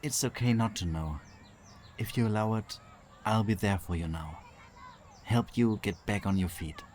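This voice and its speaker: A man playing a gentle, protective man from Germany who speaks in a soft, consoling way. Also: on the low side, normal speed, a little hiss or hum, lightly acted.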